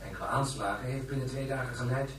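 Formal newsreader in booming echo. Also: male voice, steady tone, fast talker. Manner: formal, declamatory. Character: formal, sober television news commentator. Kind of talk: news commentary in a Dutch radio play. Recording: very echoey, distant, faint noise.

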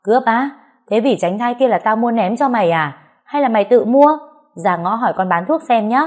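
A woman's voice: fairly high pitch, animated, normal speed, darkish tone, voice pushed up. Woman acting a menacing, foul-mouthed thug woman. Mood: enraged, threatening. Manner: taunting, threatening, barking rhetorical questions.

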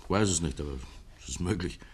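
Low-pitched detective, courteous, earnest, methodical, slightly hesitant, old German radio drama. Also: male voice, quick pace, breathy edge. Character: polite, deliberate male police investigator, measured and earnest. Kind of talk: 1950s German radio play acting.

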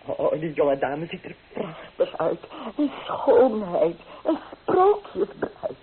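On a thin, hissy old radio recording, a performer plays a fawning, effusive dressmaker with a theatrical, very animated delivery. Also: mid-range voice, slow pace, narrow, tinny audio, full character voice. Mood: gushing, fawning. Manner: gushing, obsequious, theatrical. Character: fawning, effusive dressmaker gushing over clients. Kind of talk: radio play acting.

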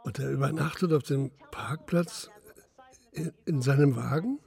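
An actor playing a grieving, troubled middle-aged father in a naturalistic radio drama, speaking in a halting, subdued way. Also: fairly high pitch, gravelly, darkish tone. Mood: puzzled.

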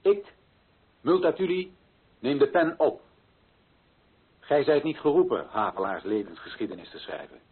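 An actor playing a proud, indignant male author who addresses readers in solemn, emphatic radio-drama declamation with a husky voice. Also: mid-range voice, big pitch swings, moderate pace, long pauses. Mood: indignant, commanding. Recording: muffled, phone-like old radio recording.

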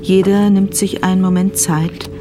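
A woman's voice: medium pitch, flat delivery, moderate pace, over music, close to an everyday voice. A woman narrating a crime radio drama as a sober observer, reading scripted prose.

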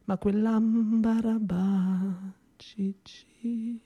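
Man as a hushed grieving woman murmuring a rhyme. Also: high voice, little pitch movement, lo-fi sound, voice pushed up. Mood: eerie, murmuring. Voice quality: hushed, breathy, half-whispered. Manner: very slow, drawn-out singsong chanting.

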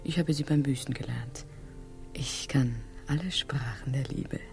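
A comic radio play queen of Spain, with an unusually low female voice. She is coquettish and theatrically passionate, breathing every phrase suggestively over faint music. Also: measured pace, vocal fry, darkish tone, heavily acted. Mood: amorous.

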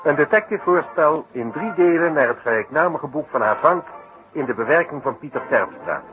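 A man's voice: fairly high pitch, lively intonation, a little fast. A man announcing a radio drama in a scripted 1960s broadcast style. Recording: dull 1960s radio recording, loud music. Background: loud music.

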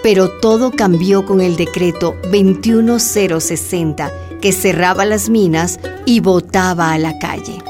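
A woman's voice: fairly low pitch, measured pace, few pauses, music in the background. A woman reading scripted narration for a radio drama as its storyteller.

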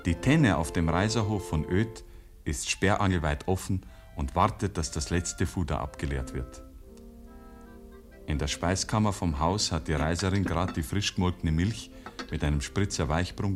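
Deep-voiced Bavarian storyteller played by an actor. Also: male voice, moderate pace, faint music behind, natural voice. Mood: unhurried, descriptive. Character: homely, wry Bavarian village storyteller. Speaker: narrator. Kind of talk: scripted dialect narration, 1950s radio play.

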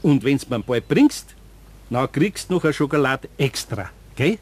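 A gruff, kindly old Bavarian carpenter. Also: male voice, mid-range voice, big pitch swings, a little slow. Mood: kindly, bargaining. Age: older. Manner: gruff but kindly, coaxing. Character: good-natured older Bavarian master carpenter. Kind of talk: radio play acting.

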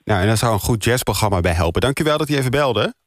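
A man's voice: medium pitch, expressive, fast talker, no echo. A man hosting a live radio phone-in, talking with listeners from a studio. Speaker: radio host. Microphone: close studio microphone.

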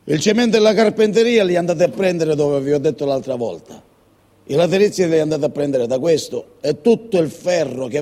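An actor as a dark-voiced, menacing mafia extortionist. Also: male voice, medium pitch, breathy edge, lightly acted. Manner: controlled, commanding, veiled threat. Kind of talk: stage drama acting.

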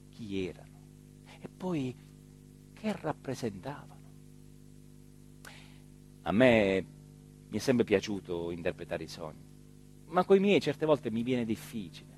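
A male actor plays an excitable small-town mayor from a radio play, telling his story. His mid-pitched voice is emphatic and theatrical, moving between hushed musing and loud outbursts. Clear noise sits in the recording. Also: natural voice. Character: excitable small-town Italian mayor recounting his dream and a night emergency.

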